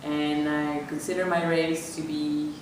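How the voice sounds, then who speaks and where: Low-voiced young woman from venezuela, thoughtful interviewee, lots of ums, echoey room, mic far off. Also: flat delivery, unhurried, faint music behind. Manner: thoughtful, many fillers. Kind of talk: personal reflection.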